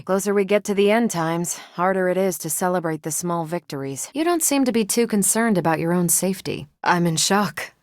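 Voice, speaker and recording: A woman's voice: on the low side, no room sound, clean studio sound, natural voice. An American woman voicing a confident, wry military superheroine in a video game, with a casual, dryly humorous manner. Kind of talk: video game character dialogue.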